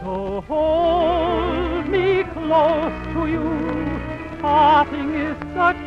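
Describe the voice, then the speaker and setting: A man's voice: very high-pitched, extremely slow, lo-fi sound, over music. A man singing a sentimental ballad as a tenor on an old 1930s radio broadcast. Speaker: tenor singer on a radio show. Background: musical accompaniment.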